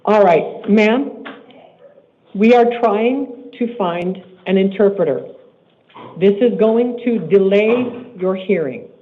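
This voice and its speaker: A man acting as judge in a court hearing. He speaks in a stern, measured way and repeats himself when he is pressing a point. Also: high-pitched, lively intonation. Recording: tinny court livestream audio.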